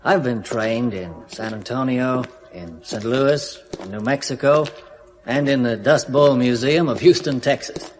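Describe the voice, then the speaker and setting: A man's voice: mid-range voice, measured pace, a little hiss or hum, close to an everyday voice. A man playing a detached astronaut. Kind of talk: 1970s radio drama acting.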